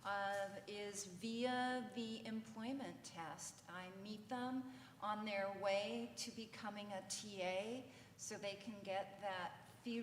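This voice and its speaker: A woman who coordinates an English language program, speaking thoughtfully and personally on a panel. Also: medium pitch. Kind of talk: reflective panel remarks. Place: conference hall. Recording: echoey hall, faint noise.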